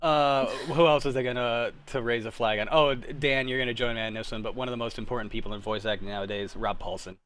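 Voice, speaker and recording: A man's voice: medium pitch, fairly even intonation, fast talker, few pauses, crowd noise. A man in his thirties hosting a casual group chat, talking in an informal, rambling way and often interrupting himself. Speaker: host.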